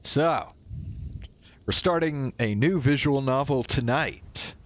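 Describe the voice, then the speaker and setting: A man's voice: mid-range voice, slow pace. A man hosting a livestream, chatting casually and muttering to himself with some swearing. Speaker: livestream host.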